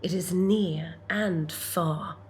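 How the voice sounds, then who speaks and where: A woman playing a thoughtful, tender hero in a dramatic reading of a philosophical futuristic story, speaking contemplatively with pauses mid-phrase. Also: fairly low pitch, steady tone, a little mellow, slight background noise. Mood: hushed reverence.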